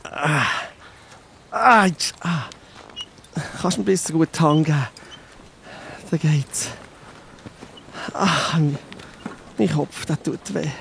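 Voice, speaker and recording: Injured, pleading traveller, high voice, halting and groaning, Swiss German accent, slightly noisy recording. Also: male voice, vocal fry, a bit bright, heavily acted. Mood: pained, groaning. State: in pain. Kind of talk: children's audio drama.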